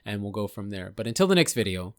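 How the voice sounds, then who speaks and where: A man's voice: fairly low pitch, very animated, fast, no room sound. Casual, rambling man, dry close mic. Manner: rambling, unsure, hedging. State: losing his voice. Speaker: gaming channel host. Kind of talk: casual gameplay commentary.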